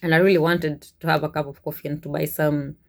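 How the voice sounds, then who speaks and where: Kenyan woman telling a story, animated. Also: sits low, quick pace, vocal fry. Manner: animated, chuckles often, conversational. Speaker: vlogger, cruise ship worker. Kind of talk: casual storytelling to camera.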